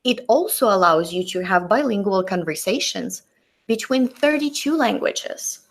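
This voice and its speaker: A woman's voice: medium pitch, expressive. A middle-aged woman teacher with a Russian accent, a native Russian speaker, carefully demonstrating a tool in a webinar on a computer mic. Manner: careful, deliberate, demonstrates on screen. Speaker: teacher presenter.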